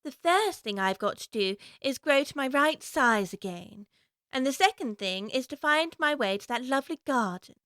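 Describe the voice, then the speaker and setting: A curious little girl in an audio drama, high clear bright voice, lively, dry close mic. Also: normal speed, dry recording. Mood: musing. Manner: earnest, talking to herself.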